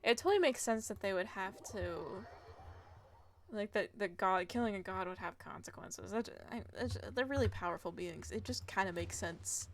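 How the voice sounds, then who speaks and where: A woman's voice: medium pitch, moderate pace, a little hiss or hum. Giggly young woman streamer. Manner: rambling, giggly, swears casually. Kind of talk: casual gameplay commentary.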